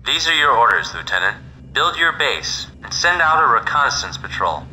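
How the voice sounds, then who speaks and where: Man acting a stern military commander, urgent orders, game radio dialogue, clear background noise, vehicle hum. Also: medium pitch, big pitch swings, moderate pace, close to an everyday voice. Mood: stern, businesslike.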